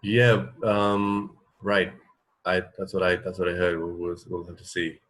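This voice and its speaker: A man's voice: deep voice, little pitch movement, a little slow, a bit bright. A man co-hosting a radio show, chatting casually in a hesitant, rambling way. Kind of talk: casual chat.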